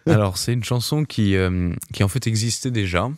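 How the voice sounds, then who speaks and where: A man's voice: on the low side, moderate pace, a little mellow, dry recording. A young man, a singer, answering in a casual radio interview, hesitant with many restarts and fillers. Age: young adult.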